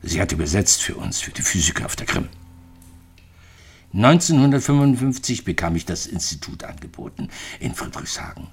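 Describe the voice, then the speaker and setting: A man's voice: deep voice, very animated, moderate pace, vocal fry, slightly rough, exaggerated voice. An actor in a radio drama playing a bitter, lonely retired East German physicist in his sixties, reflecting in clipped phrases. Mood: resigned, wistful. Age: 60s.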